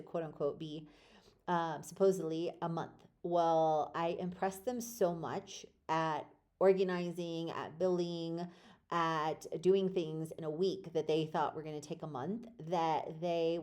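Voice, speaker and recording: A woman's voice: on the low side, a little mellow. A woman hosting a podcast, telling her own life story in a rambling, reflective way, with many fillers and restarts. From born in Poland, raised in the United States. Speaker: podcast host. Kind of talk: casual personal storytelling.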